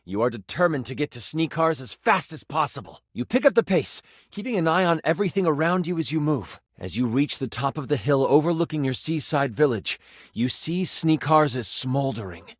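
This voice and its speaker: An eager male game master tells a fantasy tabletop adventure for a video game. His pitch sits on the high side and swings widely as he builds drama. The recording is dry and close, with a theatrical storyteller's delivery. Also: brisk, dry recording. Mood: dramatic storytelling. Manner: dramatic, expressive, with aside remarks.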